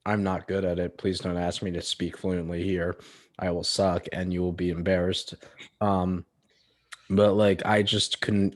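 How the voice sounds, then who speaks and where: Young male co-host with a low voice, relaxed and self-deprecating. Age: young adult. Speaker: podcast co-host. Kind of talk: casual chat.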